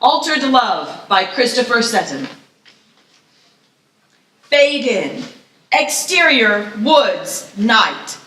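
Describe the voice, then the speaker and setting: High-voiced woman narrating, echoey room. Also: very animated, extremely slow, long pauses, bright, forceful tone, far from the mic. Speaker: screenplay narrator. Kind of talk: scripted stage-direction reading at live table read. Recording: echoey room, slightly off the mic.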